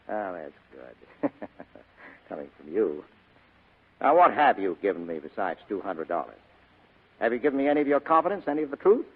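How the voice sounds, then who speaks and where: A hard-boiled private eye from a 1940s radio play. The voice is husky and swings widely in pitch, clipped and sardonic but unflappable, on a narrow, phone-like old recording. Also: male voice, big pitch swings, moderate pace, long pauses, exaggerated voice. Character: tough, wry, unflappable male private detective. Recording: muffled vintage radio drama recording, phone-like band.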